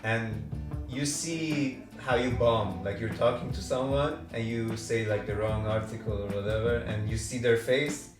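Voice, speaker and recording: A man's voice: on the low side, steady tone, measured pace, music in the background. A man from Iran, a guest comedian chatting casually on a podcast, self-deprecating and loose, with frequent "like" and "right?". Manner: laid-back, self-deprecating, lots of "like" and "right?". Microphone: room mic away from speaker. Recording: roomy, distant mic.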